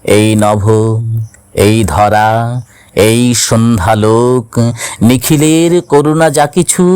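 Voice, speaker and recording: A man gives a dramatic recitation of verse at a slow, deliberate pace. His mid-pitched voice carries a solemn sorrow, with expressive, drawn-out phrasing. The recording is dry and close, with hardly any room sound. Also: no echo. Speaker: poetry reciter. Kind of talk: dramatic Bengali poetry recitation.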